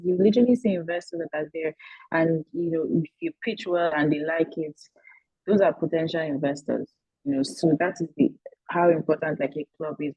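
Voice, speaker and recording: A young woman student answering questions on a video call, chatty and lively, full of "like" and "you know". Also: sits low, slightly rough, no echo. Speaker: current MBA student panelist. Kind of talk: casual spoken answer. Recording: dull lo-fi video-call audio.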